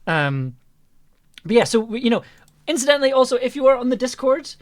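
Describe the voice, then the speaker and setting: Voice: medium pitch, sing-song, a little fast. A livestream host chatting casually and a little hesitantly, often repeating phrases while wrapping up a show. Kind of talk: casual chat, closing out a livestream.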